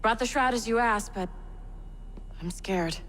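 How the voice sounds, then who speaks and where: An actress playing an anxious, caring accomplice in a dramatic video game scene. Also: female voice, a little high, measured pace, close to an everyday voice. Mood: anxious, tender. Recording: reverberant space, ambient noise.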